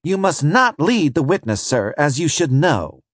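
Curt older male adjudicator in a storybook courtroom, raised pitch, husky edge, clipped and stern, read by a male narrator. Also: sing-song, a little slow, dry recording, clean studio sound.

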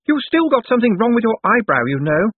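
A man performs a vain society woman from a comic novel, pitched high and piercing. Her voice grates harshly, and every line comes out as a petulant accusation with big swoops. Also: sing-song, brisk, husky, no echo, narrow, tinny audio. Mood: jeering. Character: shrill, vain society sister, harsh and foghorn-loud.

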